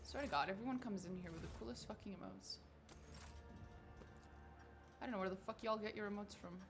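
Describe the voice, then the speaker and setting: A woman's voice: mid-range voice, fairly quick, over music. Chatty woman streaming a game. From Portugal. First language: Portuguese. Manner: chatty, swears casually, talks to chat. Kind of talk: casual gaming livestream chat.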